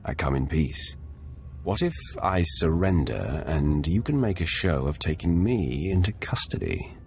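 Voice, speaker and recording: A man voicing a calm, commanding, menacing Sith warrior in a video game, with a gravelly voice and dry delivery. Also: extremely low pitch, unhurried, slight background noise, exaggerated voice. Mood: calm, assured.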